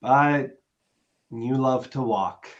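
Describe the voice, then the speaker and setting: A man playing an affectionate, gently pressing boyfriend in a naturalistic script reading, conversational and a little hesitant. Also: mid-range voice, very slow, highs cut off. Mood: pleading, teasing.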